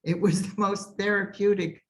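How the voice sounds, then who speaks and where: A woman's voice: medium pitch, steady tone, moderate pace. A woman casually recalling a workshop as a participant on a video call. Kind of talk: casual recollection.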